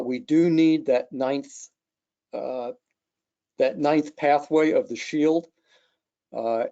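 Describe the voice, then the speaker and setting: A man gives an unscripted technical walkthrough in the role of a product engineer. His voice is mellow and dark, at a middling pitch. The recording is a slightly dull online webcast. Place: online video call.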